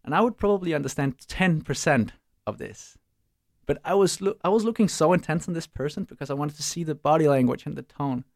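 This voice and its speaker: A young man, answering interview questions casually and pausing to search for words. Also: on the high side, creaky, a little mellow, no room sound, clean studio sound. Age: young adult. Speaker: startup co-founder and student.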